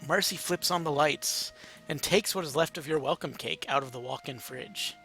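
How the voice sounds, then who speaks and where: A man's voice: fairly high pitch, normal speed, creaky, pushed and bright, soft background music. A man narrating a story aloud in a casual, amateur, playful way. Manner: informal, playful.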